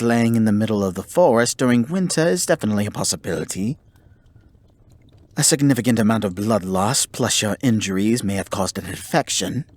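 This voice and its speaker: A man playing a haughty, grudgingly caring male kitsune in an ASMR roleplay, speaking formally and theatrically with a breathy, husky voice. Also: lowish voice, no echo. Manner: formal, theatrical, exasperated scolding. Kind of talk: ASMR roleplay acting, addressing the listener.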